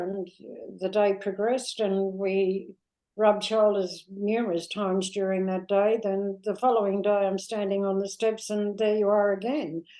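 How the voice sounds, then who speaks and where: An older Australian woman with an Australian accent, a guest in an interview, reflecting unhurriedly with many pauses and fillers. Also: medium pitch, dark tone, poor audio. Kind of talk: warm reflective interview talk.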